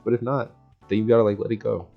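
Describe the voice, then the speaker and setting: A man's voice: lowish voice, little pitch movement, fast talker, vocal fry. A young man giving a casual, slangy self-help talk, rambling and circling back over his points. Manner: rambling and repetitive, often chuckling mid-sentence. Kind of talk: casual, slangy motivational chat to the audience. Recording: dull, lo-fi sound with music underneath. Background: background music.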